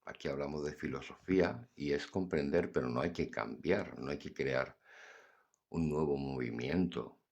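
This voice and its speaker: Very deep husky older man. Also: normal speed, darkish tone. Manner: blunt, earnest, preachy. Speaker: podcast host. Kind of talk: casual philosophical monologue.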